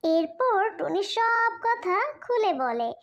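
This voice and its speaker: A woman's voice: very high voice, big pitch swings, slow, dull audio. A woman narrating a scripted cartoon tale for children as its storyteller. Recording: loud music behind the voice.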